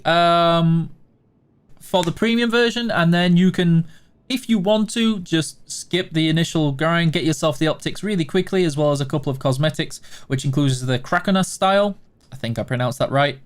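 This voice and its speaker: A Yorkshire man with a fairly high voice chats through a live game stream, fielding viewer questions one after another. He is casual and self-deprecating, often joking at his own expense. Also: normal speed, smooth. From Yorkshire, England. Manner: chatty, self-deprecating, hums between answers.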